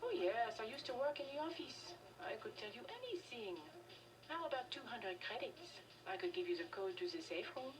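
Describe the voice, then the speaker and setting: A woman's voice: on the high side, very animated, a little fast. A woman playing a broke, scheming, resentful nightclub hanger-on in video game dialogue. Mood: wheedling. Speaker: game character voice actor. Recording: slightly distant game audio with music behind.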